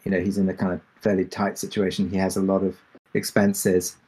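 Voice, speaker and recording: Low-voiced man thinking aloud. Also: completely flat delivery, brisk, dark tone, husky, rough recording. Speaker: podcast drama creator and producer. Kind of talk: informal panel Q&A answer.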